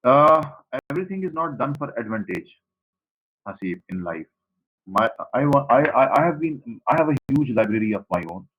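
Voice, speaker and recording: A man's voice: medium pitch, very animated, moderate pace, a bit bright, rough recording. A man from Pakistan, a native Saraiki speaker with a Pakistani accent, giving an online class lecture to students and repeating his points as he explains. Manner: repetitive, explanatory, addresses students directly. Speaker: university lecturer.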